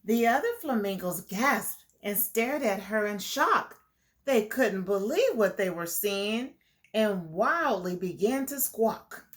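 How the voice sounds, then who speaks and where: Slow, animated woman reading to children. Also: medium pitch. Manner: deliberate, explanatory, addressing young children. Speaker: children's storytime host and reader. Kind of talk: animated picture-book read-aloud for children.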